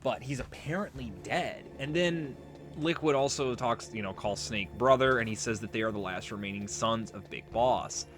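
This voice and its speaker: A man's voice: mid-range voice, normal speed, a bit bright. A man giving casual let's play commentary, explaining game lore in a conversational, rambling way. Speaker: let's player. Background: game music and audio.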